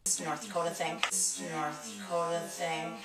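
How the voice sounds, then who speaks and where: A woman's voice: lowish voice, steady tone, moderate pace. A woman from central Minnesota, speaking with a local accent in a matter-of-fact way. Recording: echoey space with loud crowd.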